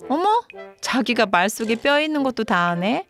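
A woman's voice: a little high, animated, moderate pace, fairly bright tone. A woman playing a practical working wife and daughter-in-law, pointed and matter-of-fact, in a dramatized story video. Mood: pointed, sarcastic. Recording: music behind the voice.

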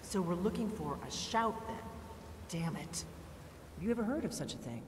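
An actress playing a blunt, impatient warrior in a video game, curt and businesslike. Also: female voice, lowish voice, expressive, moderate pace, lightly acted. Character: blunt, impatient female warrior, secretive and commanding. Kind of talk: video game character dialogue. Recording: distant, echoey hall with faint noise.

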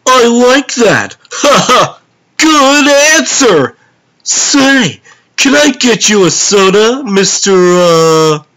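A man's voice: sits high, big pitch swings, extremely slow, pushed and bright, full character voice. A man voicing a gleefully zany clownish super-villain in a comic dub, theatrical, exclamatory and sing-song. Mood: chatty, amused.

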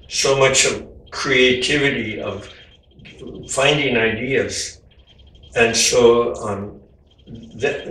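Older guy slowly explaining his art, lots of uhs and restarts, sounds far off in a really echoey room. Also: mid-range voice. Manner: gentle, halting, many fillers and restarts. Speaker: artist. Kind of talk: informal talk about his artwork. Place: home room with windows, indoors.

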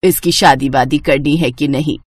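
A woman's voice: low voice, fairly even intonation, moderate pace, husky, no echo, voice pushed down. A woman voicing a homely older village mother in a cartoon story, bossy yet loving, chatty and emphatic. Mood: coaxing.

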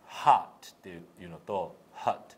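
A man's voice: low voice, animated, moderate pace, breathy edge. A man giving a one-on-one English pronunciation lesson in an encouraging way, full of praise, demonstrating sounds. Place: meeting room, indoors. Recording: noisy meeting-room recording.

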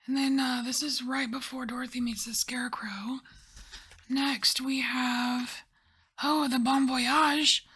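Breathy whispering woman reading tarot. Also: high voice, level tone. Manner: soft whispering, musing and unhurried. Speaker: tarot reader.

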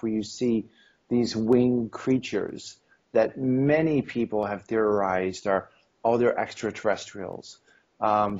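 Husky man with a slight cold. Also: medium pitch, a little mellow. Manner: rambling, many ums, acts out quoted dialogue. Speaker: interview guest, esoteric history author. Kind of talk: casual interview over video call. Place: home room on video call. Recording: dull lo-fi video call audio.

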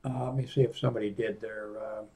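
An older man in his sixties with a fairly low voice reviews a product off the cuff. He talks casually and haltingly, rambling between his own remarks and bits he reads aloud from a screen. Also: flat delivery, warm tone. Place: small indoor home office room.

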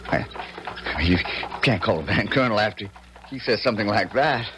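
Guy doing a deep shy gentleman colonel, halting, smitten, noisy old radio drama. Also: lively intonation, natural voice. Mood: earnest, smitten. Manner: halting, formal. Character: earnest, awkward, courtly colonel in love. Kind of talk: 1970s radio drama acting. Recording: noisy 1970s radio recording.

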